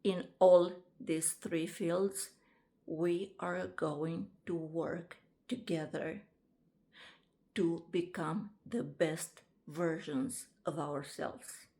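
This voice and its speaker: A woman, introducing herself to camera in a casual vlog, halting often with ums and restarts. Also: fairly low pitch, fairly even intonation, mellow. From Romania. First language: Romanian. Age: 50s. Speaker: vlogger and author.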